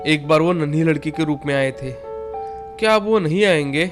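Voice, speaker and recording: A man's voice: fairly high pitch, moderate pace, music in the background, lightly acted. A man voicing an earnest, long-suffering talking bullock in a dramatized children's moral story. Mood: plaintive, weary. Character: earnest, suffering talking male bullock, loyal to his companion.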